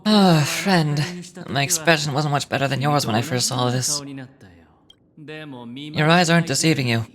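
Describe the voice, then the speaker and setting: A woman's voice: deep voice, measured pace, long pauses, crowd noise, voice pushed down. A woman voicing a nonchalant, self-assured young man, a wry schemer in a video game. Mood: cool, wry.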